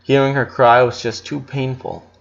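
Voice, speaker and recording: A man's voice: mid-range voice, level tone, moderate pace, creaky. A man reading a story aloud as narrator, in a dramatic scripted style. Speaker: audiobook narrator. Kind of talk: dramatic fan fiction narration. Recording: slightly dull, muffled audio.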